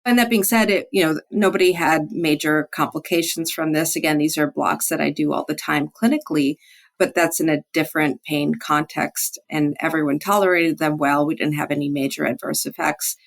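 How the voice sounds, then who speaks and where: Creaky-voiced woman discussing clinical research. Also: deep voice, fairly even intonation, normal speed. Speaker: physician researcher interviewed as study author. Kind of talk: explanatory research interview.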